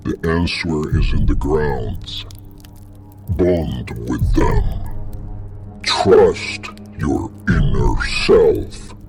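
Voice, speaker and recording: A man playing a solemn ancient necromancer gatekeeper in an audio drama, gravelly, speaking in portentous, archaic formal phrases. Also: very deep voice, drawn-out words, a little mellow, faint music behind, heavily acted. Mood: mystical guidance.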